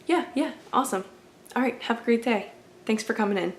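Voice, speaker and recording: A woman's voice: on the high side, fairly quick, slight background noise. A woman doing an ASMR roleplay as a friendly, accommodating video game store clerk, chatting in a casual, polite and apologetic way. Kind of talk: ASMR roleplay, casual customer-service chat.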